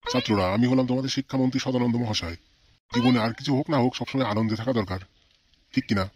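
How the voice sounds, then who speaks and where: A man's voice: mid-range voice, very fast, slight background noise, lightly acted. A man voicing a jovial, easily shocked education minister in a comic cartoon, questioning and repeating words emphatically. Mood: cheerful, inquisitive.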